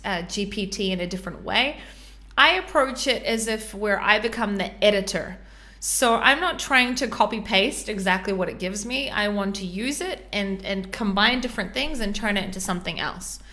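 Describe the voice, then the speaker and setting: A woman with a New Zealand accent talks through a live screen-share tutorial in a chatty, step-by-step way, often pausing on ums and uhs. Her voice is bright and clear, sitting at a middle pitch. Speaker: podcast host.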